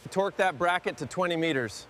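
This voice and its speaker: A man's voice: high-pitched, a little fast, pushed and bright, a little hiss or hum. A man giving short, scripted instructions and replies as a member of a workshop crew.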